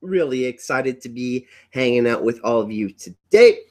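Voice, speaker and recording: A man's voice: medium pitch, lively intonation, moderate pace, a little mellow. A congested young man with a cough, hosting a casual livestream from home, upbeat and rambling as he greets his chat. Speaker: livestream host. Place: at home indoors.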